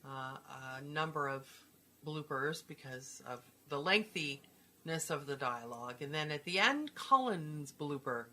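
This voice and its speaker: A woman with a very low-pitched voice and a bright, forceful edge co-hosts a casual chat. She speaks conversationally, as a co-producer would. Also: animated, measured pace. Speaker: co-host, co-producer.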